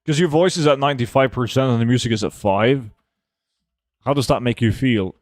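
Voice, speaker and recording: A young man streaming a game, chatting casually and answering viewer questions in a relaxed, offhand way. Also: medium pitch, a little fast, dry recording, clean studio sound. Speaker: gaming streamer reading chat. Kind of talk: casual live gameplay chat.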